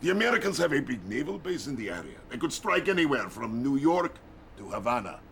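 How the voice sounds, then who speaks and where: An actor playing a jovial, menacing Soviet premier in a game cutscene, theatrical and grandiose, with a Russian accent. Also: male voice, mid-range voice, very animated, brisk, fairly bright tone, a little hiss or hum. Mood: commanding. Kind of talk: video game cutscene acting.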